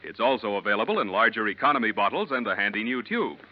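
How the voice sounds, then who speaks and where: A man's voice: on the low side, animated, fast talker. An American man announcing in 1940s radio advertisement style, with a polished, emphatic sales delivery. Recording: muffled old 1940s radio recording.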